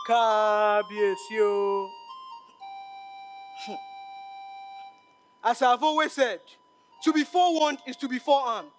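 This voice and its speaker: A man playing a grave royal counsellor with a Nigerian accent, solemn and admonishing in a projected stage delivery. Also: very high-pitched. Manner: solemn, deferential yet admonishing, proverb-laden. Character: grave, reproachful royal counsellor relaying the gods' words. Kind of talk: projected stage play acting.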